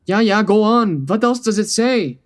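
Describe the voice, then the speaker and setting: A man's voice: high-pitched, expressive, normal speed. A man playing a gracious, stately king with a formal manner in a comic radio drama. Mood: eager. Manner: courtly, formal. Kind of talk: old-time radio drama acting.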